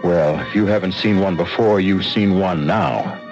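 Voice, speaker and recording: Spooky deep radio host, slow and teasing, music behind, dull old broadcast sound. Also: male voice. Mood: ominous. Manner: slow, ominous, teasing delivery. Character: sinister middle-aged male host of mystery tales. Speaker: radio drama host narrator. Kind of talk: theatrical radio drama narration.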